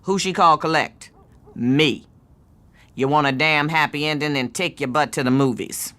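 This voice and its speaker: A middle-aged American aunt in a radio play, with a low-pitched voice. She is jaded and domineering, scolding hard and leaning on her words with bitter force. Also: normal speed. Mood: bitter, unyielding. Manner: scolding, forceful, emphatic repetition. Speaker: actress. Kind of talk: naturalistic audio drama acting.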